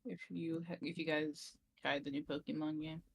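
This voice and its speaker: A man's voice: fairly high pitch, level tone, moderate pace, gravelly, breathy edge, low-quality recording. A man chatting casually as a guest on a livestream. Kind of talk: casual chat.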